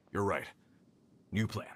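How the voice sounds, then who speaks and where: An actor playing a decisive, businesslike search party captain in a video game. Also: male voice, background noise. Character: brisk commanding male search party captain.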